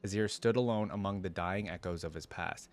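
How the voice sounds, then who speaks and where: A man reading a fantasy story aloud in a measured, dramatic way, with casual reaction asides as a fan video creator. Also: low-pitched, soft background music.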